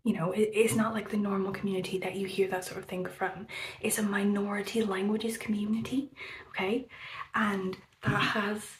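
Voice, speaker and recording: A woman vlogger talks through a book in a loose, rambling way, stacking fillers and casual swearing. She often slips into a breathy half-whisper. Her voice sits at a middle pitch and carries a roomy echo over a faint background hiss. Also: level tone, normal speed. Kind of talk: casual book review chat.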